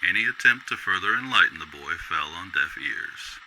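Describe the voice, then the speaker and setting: A man's voice: deep voice, animated, normal speed, pushed and bright, a little hiss or hum, poor audio. A man narrating a scripted radio drama as a storyteller.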